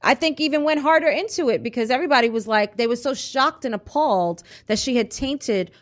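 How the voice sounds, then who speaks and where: Higher-voiced American woman, emphatic radio host. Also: a little fast. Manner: conversational, emphatic, frequently checks agreement. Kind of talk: casual opinionated radio discussion. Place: radio studio. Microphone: studio microphone.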